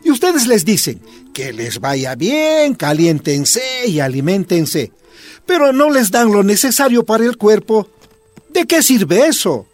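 An actor playing a solemn preacher reciting scripture in a dramatic radio style. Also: male voice, high voice, big pitch swings, unhurried, no echo, faint music behind. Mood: solemn, exhorting.

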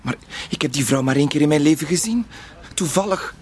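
A man's voice: fairly high pitch, lively intonation, a little fast, slight background noise, lightly acted. A man playing a meek, defensive bank clerk in a radio drama, speaking hesitantly and in protest. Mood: agitated, indignant.

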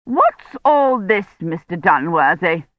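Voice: mid-range voice, very animated, moderate pace, no echo, phone-quality sound, natural voice. A narrator voicing a haughty, self-righteous older woman who scolds in a pompous, indignant way. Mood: disapproving.

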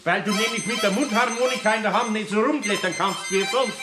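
A man's voice: sits high, fairly quick, reverberant, over loud music, lightly acted. A man playing a good-natured older craftsman with a Bavarian accent, coaxing and grumbling, in a children's radio play. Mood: coaxing, scolding.

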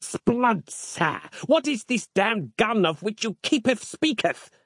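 A man's voice: high-pitched, sing-song, slow pace, fairly bright tone, no room sound, studio quality, pitched above the performer's own voice, exaggerated voice. A man voicing a stern, pious zealot commander who declaims imperiously in archaic speech for an audio story.

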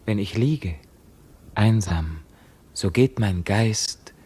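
An actor playing a dreamy, withdrawn captive young prince in a theatrical radio drama, solemn and introspective. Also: male voice, on the low side, very slow, darkish tone, breathy edge, full character voice. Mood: resigned, aloof.

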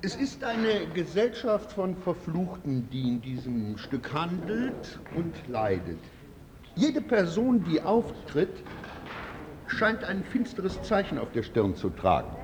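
An actor playing a vain, hysterical stage star in a radio drama, declamatory and highly strung. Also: male voice, big pitch swings, takes it slowly, background noise. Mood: ominous declaiming. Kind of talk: theatrical radio drama acting. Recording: music behind the voice.

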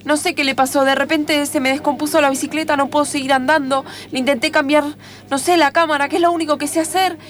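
Woman acting a high-voiced, dramatic young Argentine traveller. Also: fairly even intonation, quick pace, natural voice. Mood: flustered. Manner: emotional, hesitant, plaintive. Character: dreamy, naive, dramatic young woman cyclist setting off travelling. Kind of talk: improvised radio theatre.

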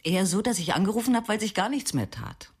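A woman's voice: mid-range voice, big pitch swings, fast talker, breathy edge. An actress playing a talkative, kindly landlady in a radio drama. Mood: chatty. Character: chatty female landlady, kindly and fretful.

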